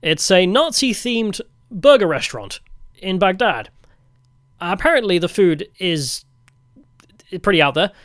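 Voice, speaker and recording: A man telling travel stories as a vlogger in a casual, wry, deadpan way. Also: sits high, a little slow, pauses often, dry recording, clean studio sound. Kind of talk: casual storytelling narration.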